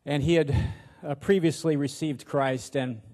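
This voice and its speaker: A man's voice: on the high side, steady tone, unhurried, darkish tone. A man in his sixties, a pastor, giving an informal sermon in a hesitant, self-deprecating, folksy way into a handheld microphone in a church auditorium. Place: large church auditorium, on stage at a pulpit.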